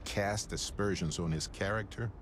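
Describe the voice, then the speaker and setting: An actor playing an earnest, careful investigator in a video game, speaking in a measured, formal way. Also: male voice, fairly low pitch, a little hiss or hum, close to an everyday voice. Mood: earnest, tactful. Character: earnest, careful male investigator seeking a witness. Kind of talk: video game character dialogue.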